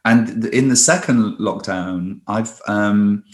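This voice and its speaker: A man, a stage performer, chatting in a conversational interview with lots of restarts and lively storytelling. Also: fairly low pitch, slow, roomy sound.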